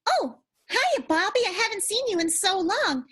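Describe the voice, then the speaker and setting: A woman's voice: very high-pitched, big pitch swings, moderate pace, fairly bright tone, exaggerated voice. A woman playing a naive, chipper young vaudeville darling, bubbly and guileless, in an improvised period radio soap. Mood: earnest, chipper.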